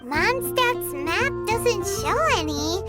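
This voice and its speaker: A woman voicing a tiny childlike fairy companion in a video game, perky and cheeky with a squeaky tone. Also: expressive, slow pace, exaggerated voice. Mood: perky, explaining. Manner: refers to herself in third person. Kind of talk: video game character acting. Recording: game music behind the voice.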